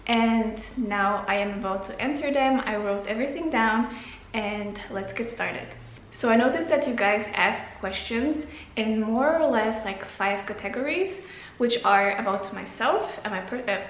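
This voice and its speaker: A woman's voice: on the high side, echoey room, slight background noise, low-quality recording. A woman in her late twenties from Poland, chatting casually through a Q&A for her channel, with loose phrasing, fillers and restarts. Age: 20s. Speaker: vlogger. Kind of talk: casual Q&A to camera.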